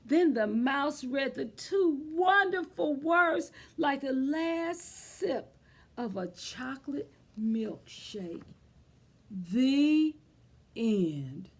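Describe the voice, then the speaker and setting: Female children's storyteller, high voice, unhurried pace, expressive, speaking directly to little kids. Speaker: children's librarian leading storytime. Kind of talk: children's storytime read-aloud and chat.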